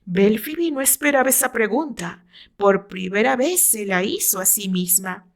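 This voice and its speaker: A woman's voice: mid-range voice, animated, normal speed, gravelly. A woman with a Mexican accent reading her own novel aloud as dramatic narration.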